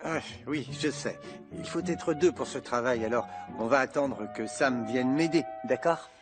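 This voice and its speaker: A man's voice: medium pitch, unhurried, lightly acted. A man voicing an easygoing fisherman dad in a children's cartoon, hesitant and stammering when flustered. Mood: sheepish. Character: easygoing, chore-dodging fisherman dad, excitable and sheepish. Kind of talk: animated children's cartoon dub. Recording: music playing behind the voice.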